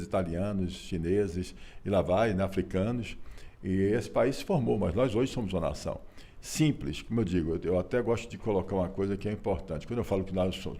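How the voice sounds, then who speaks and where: A Brazilian man, telling his family story in a relaxed interview, folksy and repetitive with frequent rhetorical tags. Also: deep voice. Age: 60s. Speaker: interview guest, author and businessman.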